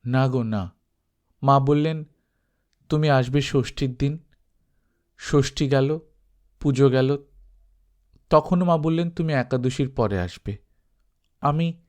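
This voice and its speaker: A man voicing a shy, playful and affectionate young village bride in storybook dialogue, coy and gently teasing. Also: medium pitch, takes it slowly, pauses often, lightly acted. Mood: shy, hesitant.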